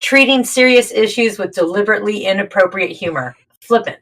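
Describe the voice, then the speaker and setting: A woman's voice: medium pitch, brisk, a crowd in the background, rough recording. A woman from California in a casual group chat, teasing the others and explaining things.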